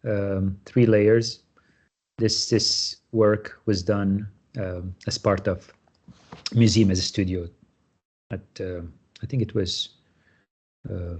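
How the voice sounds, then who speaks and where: A middle-aged man, a guest artist explaining his work on a panel, halting with many fillers and restarts. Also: fairly low pitch, a little mellow, slightly rough. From Syria. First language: Armenian. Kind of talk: panel talk about his artwork.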